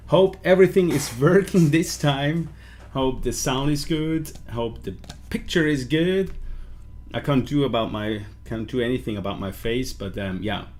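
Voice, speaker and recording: A man's voice: medium pitch, unhurried, a little mellow. A man with a Swiss German accent hosting a live stream, switching between languages, with frequent fillers and restarts. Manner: hesitant, many fillers and restarts. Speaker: livestream host. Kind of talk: bilingual live stream talk.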